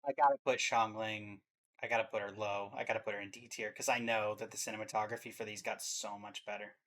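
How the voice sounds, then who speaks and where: A man's voice: on the low side, fairly even intonation, fast, fairly bright tone. A man hosting a reaction show, giving casual commentary and rankings. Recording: music behind the voice.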